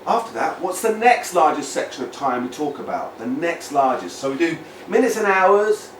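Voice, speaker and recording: A British man from the United Kingdom, an English teacher giving a lively lesson to children, repeating words and asking the viewer questions. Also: fairly high pitch, moderate pace, a little hiss or hum. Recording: echoey room, mic at a distance.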